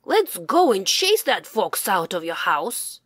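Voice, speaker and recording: Woman doing an old man in a fairy tale, kindly, confident, slow n measured. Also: mid-range voice, big pitch swings, a bit bright, no echo, studio quality, exaggerated voice.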